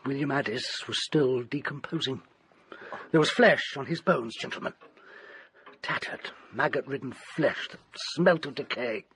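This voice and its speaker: A desperate, haunted British gentleman doctor in a radio drama. His delivery is ornate and grim, dwelling darkly on each phrase. The tone is bright and forceful, with faint noise behind it. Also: mid-range voice, a little slow. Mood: grim relish. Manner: ornate, theatrical, dwells on grisly detail.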